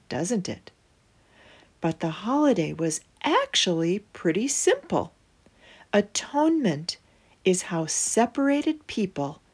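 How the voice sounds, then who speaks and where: A woman reading a children's storybook aloud as host, with deliberate phrasing and emphatic pauses. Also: mid-range voice, a little mellow, slightly rough.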